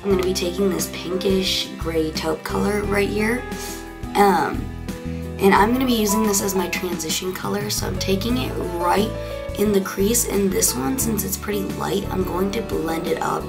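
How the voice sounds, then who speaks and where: A young woman with a hoarse, raspy, congested voice, chatting casually through a makeup tutorial with plenty of fillers. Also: medium pitch, normal speed. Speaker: beauty vlogger. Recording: clear background music.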